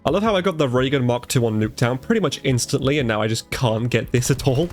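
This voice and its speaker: A man giving casual live commentary over gameplay, a bit congested from a cold, chatty and reacting out loud as he plays. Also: mid-range voice, animated, fast, soft background music. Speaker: gaming commentator.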